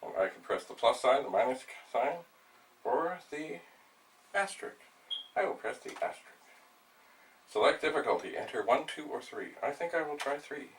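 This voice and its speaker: An older man hosting a vlog, thinking aloud in a rambling, halting way as he narrates a hands-on demonstration. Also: medium pitch, animated. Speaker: vlog host, retro computer hobbyist. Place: small cluttered indoor room at home. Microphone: camera mic a few steps away. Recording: distant mic, noisy room.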